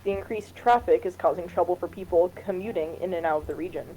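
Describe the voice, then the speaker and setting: A woman's voice: on the low side, fast talker, mellow, natural voice, rough recording. A woman voicing a principled female politician who speaks up for ordinary citizens in a political game. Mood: earnest, insistent. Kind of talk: amateur voiced read of game dialogue.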